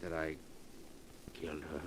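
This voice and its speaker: A man's voice: a bass voice, monotone, unhurried, a little hiss or hum, rough recording. An actor playing a guilt-ridden, brooding man haunted by a killing in an old-time radio drama. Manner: tense, anguished radio acting.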